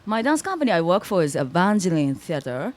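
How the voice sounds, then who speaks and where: A woman who is a guest dancer talks slowly and tentatively, often pausing to search for the right English word. Her voice sits a little low for a woman. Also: sing-song. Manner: hesitant, searching for words. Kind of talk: casual interview.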